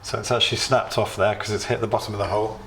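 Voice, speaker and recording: A British man hosting a DIY tutorial, a little hoarse as he is losing his voice, chatty and plain as he explains each step with small asides. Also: on the low side, fairly even intonation, quick pace, reverberant, very noisy. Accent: British English. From United Kingdom. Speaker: DIY video host. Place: home workshop or kitchen.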